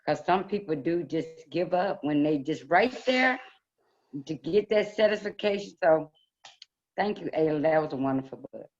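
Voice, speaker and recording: A woman with a low voice offers casual, appreciative comments as a story time host. Her phrasing is simple and plain-spoken. The audio is slightly muffled, as on an online session. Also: expressive, normal speed, darkish tone.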